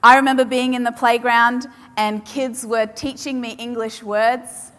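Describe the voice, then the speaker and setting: A woman preacher, a native Polish speaker, giving an emphatic sermon to a congregation and repeating key phrases with dramatic pauses. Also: a little high, steady tone, a bit bright. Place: large hall with audience. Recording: long hall reverb.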